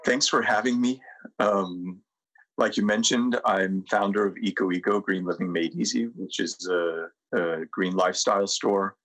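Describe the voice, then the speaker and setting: A middle-aged American man speaking reflectively as a podcast guest, measured and deliberate, with pauses. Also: low voice, fairly bright tone, poor audio. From United States. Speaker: podcast guest, sustainability consultant. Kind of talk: reflective interview talk and guided presentation.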